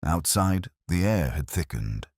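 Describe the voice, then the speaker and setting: A man playing an ageing, weary police detective, narrating an audio drama in a measured, literary, wry and reflective way. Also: sits low, big pitch swings, husky, no echo, clean studio sound, exaggerated voice.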